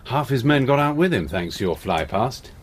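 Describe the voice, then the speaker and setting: Male actor insubordinate army major, British accent, dry sarcasm, animated intonation, radio drama, noisy backdrop. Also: mid-range voice, moderate pace, close to an everyday voice. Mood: sardonic, coaxing.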